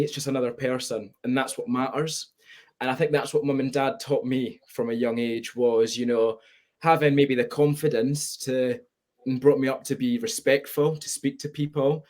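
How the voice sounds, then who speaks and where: A man's voice: mid-range voice, darkish tone. A nineteen-year-old man from Spain with a Scottish accent, speaking candidly and reflectively as a guest on a webinar video call. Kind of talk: webinar conversation.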